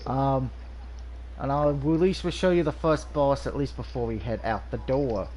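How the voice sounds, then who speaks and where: A man's voice: medium pitch, normal speed, darkish tone, slight background noise. A man with a nasal, stuffy voice from allergies, casually reviewing a video game over gameplay, rambling with frequent ums and restarts.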